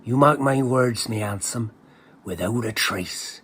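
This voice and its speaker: An actor playing an agitated, paranoid English henchman in a dramatic monologue, husky, wordy and slangy, with theatrical repetitions. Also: medium pitch, unhurried, a bit bright. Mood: mocking, ominous. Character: agitated paranoid henchman ranting about a superspy, colourful slangy storyteller.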